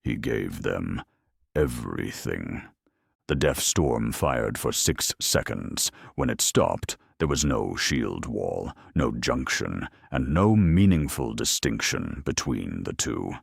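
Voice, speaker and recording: A man narrating a grimdark war story in a grave, measured, dramatic way with wry deadpan humour. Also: a bass voice, gravelly, husky, dry recording, studio quality.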